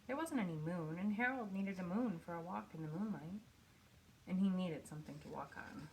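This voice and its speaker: A woman reading a children's picture book aloud in an expressive storytelling manner, with pauses for effect. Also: fairly low pitch, a little hiss or hum, poor audio. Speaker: storybook reader.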